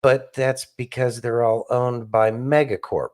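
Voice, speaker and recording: A man's voice: mid-range voice, fairly even intonation, measured pace, a crowd in the background. Emphatic man talking politics. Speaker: podcast co-host. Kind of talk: opinionated political talk.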